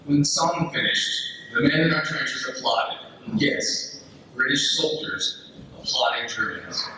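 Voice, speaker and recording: A man's voice: on the high side, very animated, unhurried, bright, forceful tone, full character voice. A man playing a candid British First World War soldier, telling a friend of the front in plain, emphatic readers theater style. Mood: marvelling. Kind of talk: readers theater letter reading. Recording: distant, very echoey room, faint noise.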